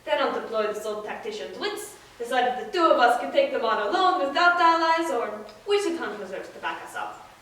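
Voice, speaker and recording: A woman's voice: high voice, animated, a little fast, fairly bright tone. A woman playing a proud, weary hero father in a solemn, emphatic stage reading of epic poetry. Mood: resolute. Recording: distant, very echoey room.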